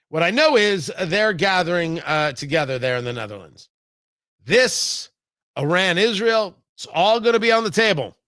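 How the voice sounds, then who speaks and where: An American male talk show host with a high-pitched voice and a forceful, bright tone. He delivers opinionated commentary emphatically, hammering points home through repetition. The sound is dry and close. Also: no echo. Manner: emphatic, deliberate repetition for effect. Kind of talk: opinionated political commentary monologue.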